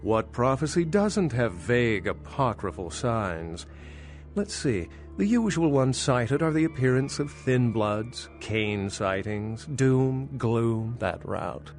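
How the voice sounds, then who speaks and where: A centuries old vampire professor from a video game, super expressive, skeptical, kinda snooty and lecturing, faint music behind. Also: male voice, mid-range voice, slow pace. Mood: wry disdain. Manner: articulate, lecturing, wry, pedantic. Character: centuries-old male vampire scholar, erudite, skeptical, dryly condescending. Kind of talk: video game dialogue acting.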